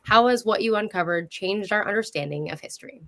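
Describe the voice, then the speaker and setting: A woman's voice: medium pitch, expressive, fairly quick, creaky, fairly bright tone. A woman hosting a conversational interview show, speaking in a chatty, appreciative way. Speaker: show host.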